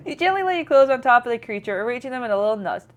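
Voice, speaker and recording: A woman's voice: a little high, very animated, rapid-fire. A woman streamer reading game narration aloud with playful, chatty casual commentary.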